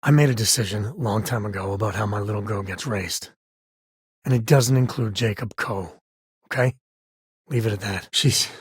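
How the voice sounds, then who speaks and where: An actor playing an easygoing, wry spacefaring explorer and devoted father in a video game, with a husky, gravelly voice and a folksy, conversational manner. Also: on the low side, normal speed, no room sound, clean studio sound. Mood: wry, guarded. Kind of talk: video game character dialogue.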